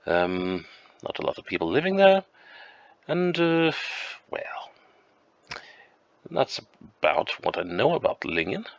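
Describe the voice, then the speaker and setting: A man with a flu, sounding croaky and sniffly, thinking aloud slowly and hesitantly as he plays a map game at home. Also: fairly low pitch, very animated, no echo, muffled recording. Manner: slow, musing, hesitant with many ums. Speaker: game streamer. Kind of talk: thinking-aloud gameplay commentary.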